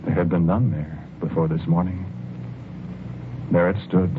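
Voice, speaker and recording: An actor playing a haunted, fearful husband in an old-time radio horror drama, speaking slowly and haltingly with brooding, heavy pauses. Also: male voice, low-pitched, steady tone, background noise. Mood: dread-filled. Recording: muffled, tinny vintage radio recording with music. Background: loud music.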